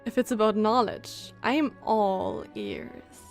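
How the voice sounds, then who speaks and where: A woman's voice: medium pitch, wildly swooping pitch, slow, creaky, faint music behind. A woman doing casual live game commentary, chatty and musing aloud as she reacts to the story. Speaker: game streamer.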